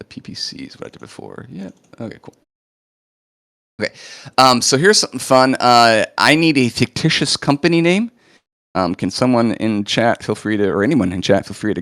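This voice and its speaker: Man, lowish voice, slightly hoarse, chatty live demo, dry close sound. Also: fairly bright tone, no room sound. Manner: casual, chatty, lots of fillers. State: a bit hoarse, recovering from illness. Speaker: presenter of a live coding demo. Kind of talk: live screen-share walkthrough.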